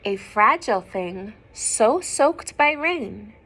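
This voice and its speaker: A woman reading a picture book aloud to young children, expressive and gentle, often dropping into hushed asides to her listeners. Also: medium pitch, slow. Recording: some background noise.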